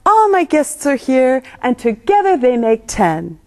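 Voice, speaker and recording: A woman voicing a cheerful, unhurried old grandmother in a children's storybook, politely and brightly putting off a visitor. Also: sits high, very animated, exaggerated voice. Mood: delighted. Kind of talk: children's storybook read-aloud.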